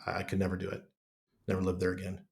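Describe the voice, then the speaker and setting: A man's voice: deep voice, completely flat delivery, rapid-fire, a little mellow. A middle-aged American man with chest congestion, chatting casually and bluntly as a podcast guest. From United States. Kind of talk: casual conversational interview.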